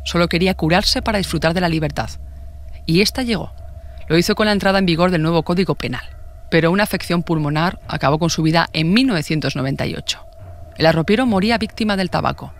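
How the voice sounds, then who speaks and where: A man with a Spanish accent from Spain narrates a scripted true-crime documentary. His voice sits a little high for a man and he moves quickly through the text.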